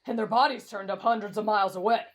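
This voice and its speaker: A woman's voice: mid-range voice, steady tone, fast, natural voice. A woman voicing a brash, reckless teenage boy in an anime-style roleplay drama, cocky, blunt and dismissive. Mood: matter-of-fact, ominous. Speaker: voice actor in roleplay audio.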